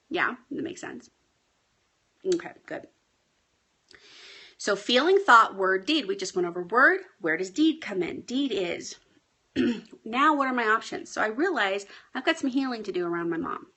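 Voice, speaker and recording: Woman, life coach, hoarse, husky bright voice, chatty, home live stream. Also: mid-range voice. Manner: chatty, often asks "right?". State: hoarse, clearing her throat. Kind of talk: casual coaching talk to viewers. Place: at home with pets.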